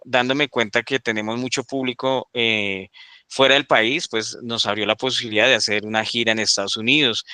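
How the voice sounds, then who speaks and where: A man's voice: mid-range voice, sharp tone, smooth, dry recording. A Colombian comedian answering interview questions over a video call, restarting his phrases and checking in with the listener as he talks. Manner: hesitant restarts, tags remarks with "¿cierto?". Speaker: comedian guest.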